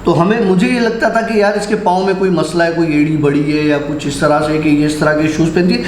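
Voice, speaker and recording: A man telling a horror story in a casual, unhurried, conversational way, with dramatic pauses. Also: a little high, steady tone. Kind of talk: casual first-person ghost storytelling. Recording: roomy echo, faint background noise.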